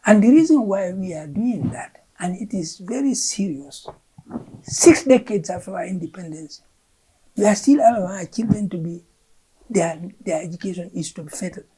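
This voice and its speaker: A woman with a Gambian accent speaks as a curriculum official in an interview. Her voice is husky and mellow, low in brightness. She explains emphatically and repeats words as she searches for them. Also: expressive, moderate pace, poor audio. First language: Fula. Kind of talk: explaining education policy in an interview.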